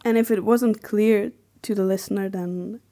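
A woman's voice: medium pitch, fairly even intonation, normal speed, dark tone. A woman co-hosting a podcast in casual discussion. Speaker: podcast co-host.

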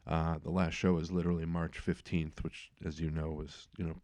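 Middle-aged male podcast host, deep voice, easygoing and rambling. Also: normal speed. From eastern United States. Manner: relaxed, filler-heavy, trails off quietly. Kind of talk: casual interview chat.

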